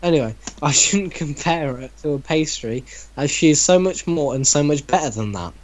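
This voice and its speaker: A man's voice: a little high, lively intonation, normal speed. A man casually reading a story aloud to friends, bantering and breaking in with sceptical, teasing comments. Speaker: reader in a group reaction show.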